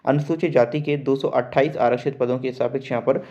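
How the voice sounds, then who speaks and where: A man's voice: medium pitch, fairly even intonation, fairly quick, dark tone. A man reading out an official notice in an explanatory way, mixing formal Hindi with English words and restating points simply. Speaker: presenter of exam result updates for job aspirants. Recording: dull, lo-fi voice recording.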